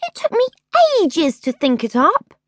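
A woman's voice: sits high, very animated, unhurried, fairly bright tone, dry recording, clean studio sound, voice pushed up, exaggerated voice. A woman voicing a cheeky, rude little girl princess in a storybook reading, bratty and defiant. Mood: sulky.

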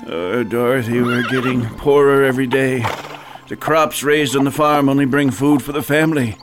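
A man playing a kindly, troubled older farmer uncle in an audio drama, speaking haltingly. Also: mid-range voice, fairly even intonation, music in the background, close to an everyday voice. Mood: sad, resigned. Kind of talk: full-cast audio drama acting.

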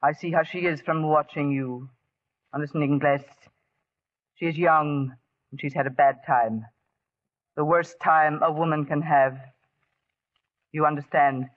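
A woman's voice: low-pitched, steady tone, measured pace, pauses often. An actress playing a bold, fiercely protective guerrilla woman with a blunt, imperious manner in old radio drama style. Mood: stern, probing. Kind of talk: 1940s radio acting. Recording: muffled, narrowband vintage radio recording.

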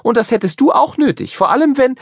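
A man voicing a curious, outspoken young girl in a storybook reading, in a raised, bright tone. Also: very high voice, expressive, fast talker, dry recording, studio quality, pitched above the performer's own voice, exaggerated voice. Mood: pert. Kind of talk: storybook character voice.

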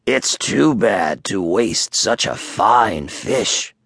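A man voicing a big, smug bear from a children's story in a slow, deep, grumbly voice. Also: animated, gravelly, a bit bright, breathy edge, no room sound, clean studio sound, heavily acted. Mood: musing. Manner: slow, drawn-out delivery.

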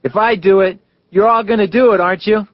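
Man voicing a brash, high-pitched teenage schoolboy athlete. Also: fairly even intonation, a little fast, dry recording, dull audio, lightly acted. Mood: daring. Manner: daring, exuberant, goading. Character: bold, brash, eager seventeen-or-younger schoolboy athlete. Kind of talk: audiobook character dialogue.

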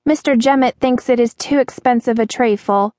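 A woman's voice: on the high side, moderate pace, dark tone, no room sound, studio quality, pitched above the performer's own voice, lightly acted. A woman voicing a timid, anxious young waitress in a storybook, speaking hesitantly and pleadingly. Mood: anxious, confiding. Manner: hesitant, breathless stammering, pleading.

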